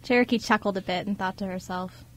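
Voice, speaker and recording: A woman's voice: medium pitch, fairly even intonation, fast, a little hiss or hum. A woman reading scripted narration as the narrator of an amateur radio drama.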